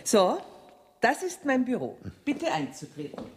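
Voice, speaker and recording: A woman's voice: fairly high pitch, very animated, unhurried. An actress playing a shrewd, courteous Bavarian woman banker receiving clients in a radio drama. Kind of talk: German radio drama acting. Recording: 1970s radio production with clear noise.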